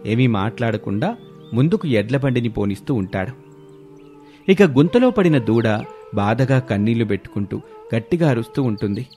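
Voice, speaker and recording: A man's voice: mid-range voice, lively intonation, moderate pace, warm tone, soft background music. A man reading a scripted children's moral story as narrator.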